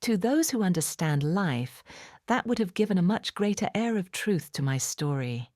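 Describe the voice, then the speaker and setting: Low-voiced woman reading with lively intonation. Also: moderate pace, dry recording, clean studio sound. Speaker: audiobook narrator. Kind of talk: expressive bedtime storybook narration.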